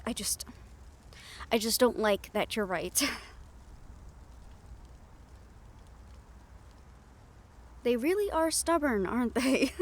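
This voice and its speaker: A woman playing a gentle, teasing but worried young siren in an audio roleplay, speaking softly and intimately to the listener. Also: fairly high pitch. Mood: wry amused.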